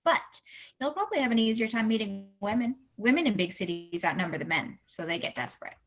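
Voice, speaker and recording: Woman acting blunt, higher-voiced lady vet. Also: lively intonation, fast. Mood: blunt, opinionated. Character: blunt, opinionated small-town female veterinarian. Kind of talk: comedic radio play acting.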